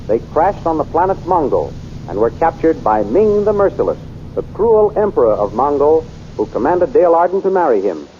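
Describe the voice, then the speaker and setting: A man's voice: on the high side, highs cut off, slight background noise. A man narrating an old radio serial in a dramatic, sweeping announcer style.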